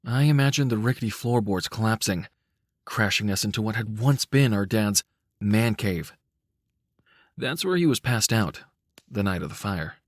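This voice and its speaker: A man giving a measured, cinematic, dramatic narration of a dark short story. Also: lowish voice, lively intonation, no room sound, clean studio sound. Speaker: audiobook-style story narrator.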